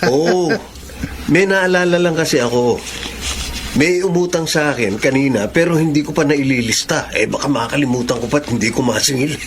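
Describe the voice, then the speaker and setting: A man's voice: on the high side, moderate pace, crowd noise, close to an everyday voice. A man acting as a talkative, teasing young buddy in a Filipino radio drama. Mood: casual, teasing. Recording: radio drama with noisy ambience.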